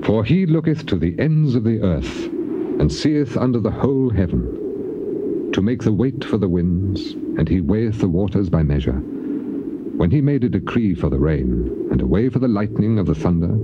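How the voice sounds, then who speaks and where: A grieving, dignified old patriarch in a dramatized scripture recording. His low voice declaims in measured poetic phrases that rise and fall widely. The sound is an old cassette, thick with noise. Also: very animated. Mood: solemn wonder. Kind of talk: dramatized scripture reading, theatrical classical acting. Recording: dull, very noisy old cassette tape.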